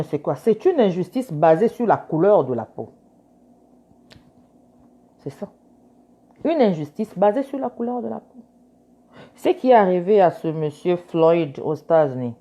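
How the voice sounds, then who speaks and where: A woman's voice: medium pitch, mellow. A woman lawyer giving earnest, insistent commentary, switching between French and English and repeating her key points. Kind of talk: casual commentary.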